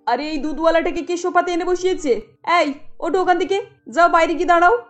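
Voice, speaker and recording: A woman's voice: very high voice, fast. A woman playing a haughty, imperious middle-aged rich mother in a cartoon, scolding with snobbish indignation. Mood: angry, commanding. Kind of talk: cartoon dialogue acting. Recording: light music under the voice.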